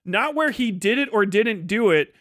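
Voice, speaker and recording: A man's voice: high-pitched, expressive, moderate pace, a bit bright, no echo, clean studio sound. A man doing casual livestream commentary, ranting in a profane, sarcastic and exasperated way. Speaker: livestream host reacting to other streamers.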